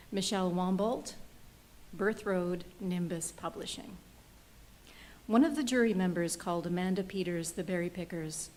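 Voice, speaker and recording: A soft-spoken woman presenting an award, reading out nominees and jury remarks. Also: lowish voice, slight background noise.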